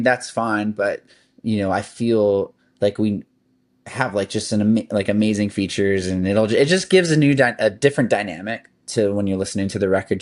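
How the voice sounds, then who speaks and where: A man of middle pitch talks in a loose, easygoing way, a singer chatting as a podcast guest. He rambles, circling back with fillers as he works out his thoughts. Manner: relaxed and rambling, with frequent "like" and "um". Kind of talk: casual interview chat.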